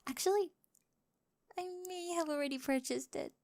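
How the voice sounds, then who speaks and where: A woman playing a high-voiced, nerdy, besotted young bride. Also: normal speed. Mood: excited, earnest. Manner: precise, earnest recital of technical wording with giggly excitement. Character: quirky nerdy young bride, besotted, data-obsessed, earnest romantic. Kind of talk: scripted ASMR roleplay addressed to the listener.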